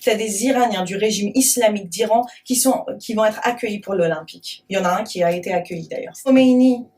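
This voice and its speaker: A woman's voice: medium pitch, fast talker, darkish tone, far from the mic. A woman from France, a guest on a talk show, arguing with indignation and often restarting her sentences. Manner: impassioned, indignant, many restarts. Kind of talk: heated talk show discussion.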